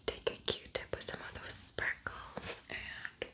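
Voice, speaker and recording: A woman whispering in a breathy, unvoiced ASMR style as a tutorial host, softly repeating words. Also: takes it slowly.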